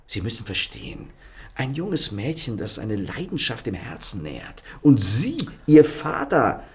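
An actor playing a charming, ironic gentleman thief in a radio play, speaking with smooth, courteous mockery. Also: medium pitch, huge pitch swings, a little slow, heavily acted. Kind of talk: radio drama acting. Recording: studio radio play with faint music.

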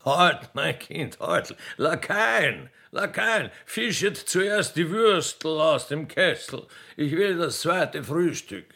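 A man playing a genteel, condescending but kindly old emperor with an Austrian accent and a gravelly voice in a radio play. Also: a little high, expressive, slow pace, sharp tone, pitched above the performer's own voice, full character voice. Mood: lordly. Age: older. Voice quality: gravelly, husky. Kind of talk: radio play character acting.

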